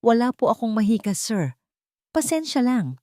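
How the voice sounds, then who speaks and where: A woman performs a young female therapist in an audiobook drama. She is soft-spoken and respectful, steady and patient, with melodic movement in her pitch and a dry, close sound. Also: moderate pace, no room sound. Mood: calm, respectful.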